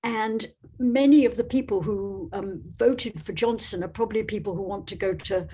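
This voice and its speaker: Woman from Scotland, podcast guest. Slightly husky voice, thoughtful and halting delivery. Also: mid-range voice, warm tone. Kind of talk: conversational interview answers.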